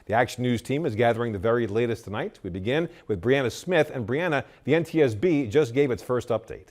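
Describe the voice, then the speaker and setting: American male news anchor, lively intonation. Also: mid-range voice, brisk. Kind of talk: scripted television news delivery.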